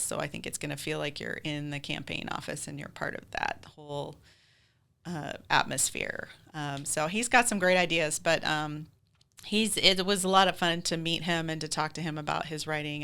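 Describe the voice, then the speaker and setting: A woman's voice: low voice, creaky, sharp tone, clean tone. A woman with an American accent speaking casually as a theater group's guest in an interview.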